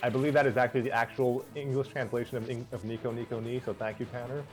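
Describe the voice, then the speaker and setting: A man's voice: medium pitch, flat delivery, quick pace. A man hosting a casual group chat, talking in a hesitant, rambling way as he discusses writing. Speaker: host and writer of fan scripts. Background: background music.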